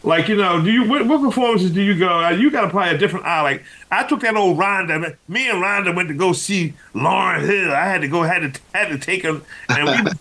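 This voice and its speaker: A man's voice: high-pitched, brisk, sharp tone. A man hosting a radio interview show, asking questions in a chatty way and drifting into his own stories. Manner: chatty, jumps into personal stories.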